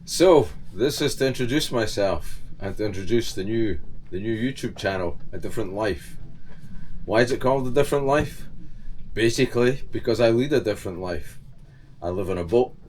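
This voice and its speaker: A relaxed, plain-spoken older man with a Scottish accent and a fairly low voice. He chats casually to camera from inside the cabin of his boat, with a faint hum of ambience behind him. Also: normal speed, poor audio. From Scotland. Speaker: channel host. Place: on board his sailboat, indoors in the cabin.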